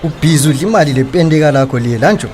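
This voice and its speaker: Guy playing a streetwise zimbabwean debt collector in a radio play, sarcastic, confrontational, kinda high voice. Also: racing, a little mellow, close to an everyday voice. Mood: indignant, threatening. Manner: taunting, confrontational. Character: streetwise, sarcastic male creditor demanding his money. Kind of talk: radio drama acting.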